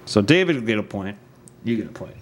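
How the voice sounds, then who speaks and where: Animated joking man on a podcast. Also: fairly low pitch, fast, slight background noise. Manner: animated, jokey, laughs often, swears casually. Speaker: podcast host. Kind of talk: casual podcast chat.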